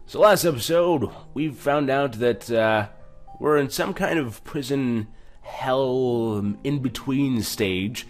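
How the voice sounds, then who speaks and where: A man's voice: mid-range voice, a little mellow. A man hosting a let's play, commenting informally and reading on-screen text aloud with some stumbles. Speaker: gaming video host. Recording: music behind the voice.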